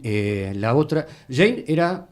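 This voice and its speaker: A man with an Argentine accent chatting casually as a guest, telling anecdotes in a halting, digressive way. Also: mid-range voice, very animated, a little mellow. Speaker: interview guest.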